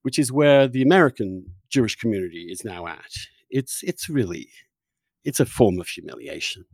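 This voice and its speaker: A man's voice: medium pitch, lively intonation, normal speed, gravelly, darkish tone, husky, dry recording, clean studio sound. A man hosting an argumentative political conversation, blunt and insistent, often stammering into restarts as he presses his points. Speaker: podcast host and interviewer.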